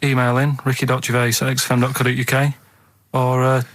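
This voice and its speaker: A male radio co-host with a bright, forward voice. He chats casually and rambles along, sounding earnest and puzzled as he poses naive questions. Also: medium pitch, completely flat delivery, fast talker.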